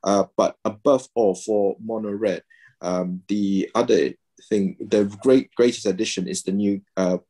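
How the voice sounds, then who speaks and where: Guest, 30s man from Malaysia, very deep voice, halting delivery, video call. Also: fairly even intonation, warm tone. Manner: hesitant, many fillers and restarts. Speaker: guest, pediatrician and game player. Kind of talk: casual video-call interview. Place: home room, indoors.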